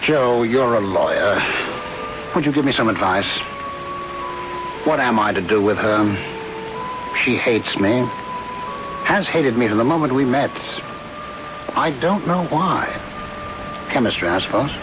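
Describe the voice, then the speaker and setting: A man's voice: medium pitch, expressive, measured pace, pauses often, music in the background, lightly acted, rough recording. An actor playing a middle-aged stepfather villain in old-time radio drama, formal, composed and falsely aggrieved. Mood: feigned hurt.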